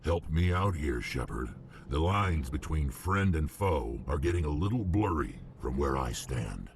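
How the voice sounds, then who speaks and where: An actor playing a gruff, proud, embittered male alien warrior in a video game, blunt and forceful, with a deep gravelly voice. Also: animated, unhurried, a little hiss or hum, full character voice. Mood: angry, frustrated.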